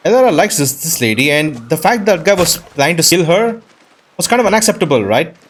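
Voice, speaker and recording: A man's voice: a little high, big pitch swings, brisk. A man doing casual game commentary, thinking aloud in an informal, reactive way. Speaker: gaming video host playing and commenting. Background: game music.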